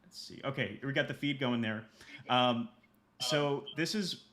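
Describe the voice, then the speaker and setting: A man hosting a live video stream, relaxed and casual, with frequent fillers. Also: medium pitch, a little slow. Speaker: host. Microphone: video call.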